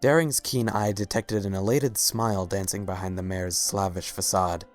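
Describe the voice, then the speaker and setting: A man's voice: sits low, fairly even intonation, moderate pace, soft background music. A man narrating a scripted story as a dramatic reading.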